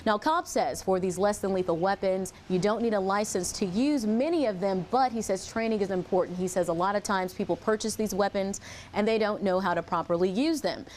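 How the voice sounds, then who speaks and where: A woman delivers scripted television news narration. She speaks as a reporter at a middle pitch, presenting the story in a broadcast style. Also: fairly quick, a little mellow, a little hiss or hum.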